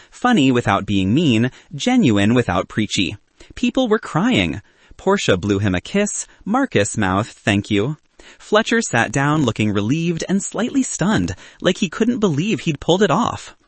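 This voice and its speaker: A man narrates a scripted romantic comedy in the first person. His voice is slightly husky and moves animatedly in pitch, and the recording is close and dry. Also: wildly swooping pitch, measured pace, no echo. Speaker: audiobook narrator.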